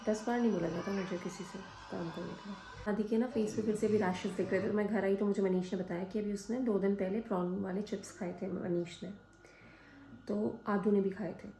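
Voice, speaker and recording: A woman narrates her vlog in a relaxed, chatty way. She drifts between languages mid-sentence, sharing small family updates in an easy conversational tone. Her voice sits at an ordinary middle pitch. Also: low-quality recording. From India. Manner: chatty, mixes in English phrases. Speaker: vlogger.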